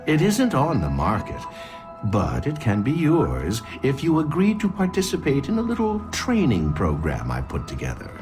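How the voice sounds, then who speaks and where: An actor playing a middle-aged, manipulative corporate villain in a game, condescending and calmly in command. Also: male voice, medium pitch, moderate pace, music in the background. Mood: smooth, manipulative. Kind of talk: dramatic video game acting.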